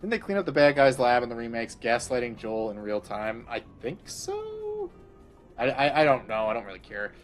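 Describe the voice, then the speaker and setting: A man's voice: mid-range voice, wildly swooping pitch, normal speed, faint music behind. A man streaming a game, rambling crudely in casual commentary and laughing often.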